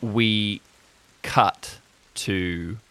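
A man narrating an improvised audio drama as game master, in a measured, dramatic storytelling manner. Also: on the low side, steady tone, pushed and bright, clean tone.

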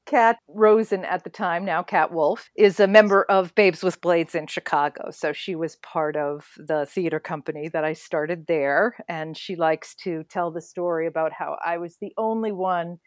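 A woman's voice: on the low side, fairly even intonation, moderate pace, few pauses, dry recording, clean studio sound. A middle-aged woman co-hosting a casual podcast, talking with enthusiasm as she introduces her guest. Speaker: co-host.

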